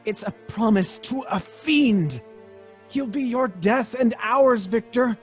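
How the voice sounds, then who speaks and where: An actor playing an anxious, pleading male friend in old time radio melodrama, husky, halting and emphatic. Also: extremely high pitch, animated, faint music behind, full character voice. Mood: urgent pleading.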